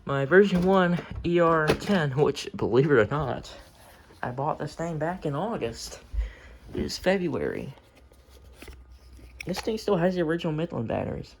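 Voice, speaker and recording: Casual male hobbyist, informal and mumbly, creaky voice, unscripted home video with clear background noise and clicks. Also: fairly high pitch, big pitch swings, moderate pace. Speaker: hobbyist showing a weather radio collection. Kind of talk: casual unscripted show-and-tell. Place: room at home. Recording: noisy home recording with clicks.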